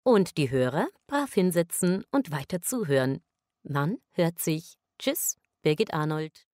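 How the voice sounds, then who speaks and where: A woman's voice: sits low, sing-song, unhurried, a little mellow, no room sound, studio quality. A woman speaking a congratulatory message as if addressing a colleague directly.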